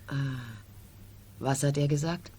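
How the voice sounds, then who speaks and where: Eine Schauspielerin mittleren Alters spielt in einem Hörspiel der Siebziger eine stolze, herrische Marchesa, mit tiefer, rauer Stimme und theatralischem Nachdruck. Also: female voice, sits low, steady tone, measured pace, mellow, slightly rough. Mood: questioning. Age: middle-aged. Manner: theatrical, emphatic, haughty. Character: proud, middle-aged marchesa, insistent and imperious. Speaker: radio drama actor. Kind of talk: 1970s radio drama acting.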